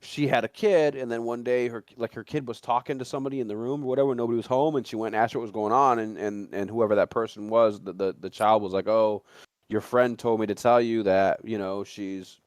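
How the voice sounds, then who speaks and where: A man speculating in a casual discussion, rambling and halting as he repeats and restarts phrases. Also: on the low side, fairly even intonation, clean tone, no echo. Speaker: talk show panelist.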